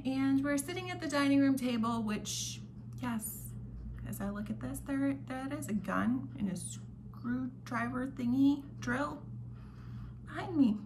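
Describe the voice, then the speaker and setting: A woman's voice: high voice, animated, measured pace. A woman hosting a devotional read-along, reading prepared reflections aloud with casual asides, recorded in a dining room at home. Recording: home recording with clear background noise.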